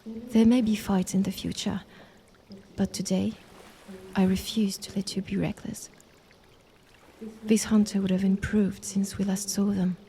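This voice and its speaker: A composed female monster voiced by a woman, the stern younger sister in a fantasy audio roleplay. Her mid-pitched voice is mellow and unforced. She speaks in measured, formal phrases with solemn restraint. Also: flat delivery, a little hiss or hum, lightly acted. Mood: firm, restraining. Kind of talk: dramatic audio roleplay acting.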